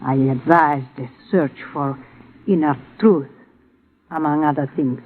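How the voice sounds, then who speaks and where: A thin old radio recording with faint noise carries an actor as a cryptic, solemn advice-giver. The voice is on the high side, formal and measured, guarding every answer in 1950s radio drama style. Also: male voice, steady tone, phone-quality sound, natural voice. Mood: solemn, guarded. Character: guarded, solemn, cryptic fortune-teller-like advisor Madam Star.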